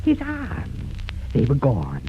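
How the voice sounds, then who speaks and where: A man narrating a horror tale in theatrical, suspenseful 1930s radio style with dramatic pauses, on a muffled vintage recording. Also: mid-range voice, huge pitch swings, slight background noise. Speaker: horror show narrator and host. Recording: muffled 1930s radio, loud music behind.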